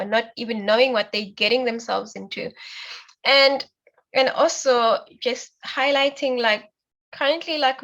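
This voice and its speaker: A woman moderates an online dialogue. Her voice sits a little high, and she talks in a casual, conversational way as she addresses the participants. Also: a bit bright, rough recording. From South Africa. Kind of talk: casual discussion hosting. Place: online call, off video during a power cut.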